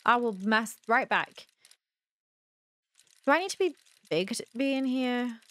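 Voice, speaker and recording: A woman's voice: fairly high pitch, animated, unhurried, fairly bright tone. A young adult woman giving casual playthrough commentary into a close desk mic, thinking aloud and reacting as she plays. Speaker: gaming video host. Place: small room at home, indoors. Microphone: close desk microphone on an arm.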